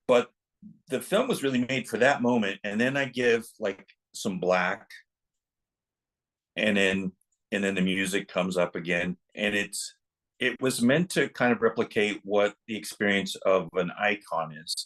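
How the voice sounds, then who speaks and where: A deep-voiced male filmmaker on an interview livecast, thoughtfully feeling his way through the story behind his work. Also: a little slow, a bit bright. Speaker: film director, interview guest. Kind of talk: reflective interview conversation.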